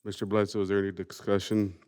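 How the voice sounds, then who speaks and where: A man chairing a school board meeting, running through the agenda with a formal, steady roll-call cadence. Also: fairly low pitch, quick pace, clear, resonant tone.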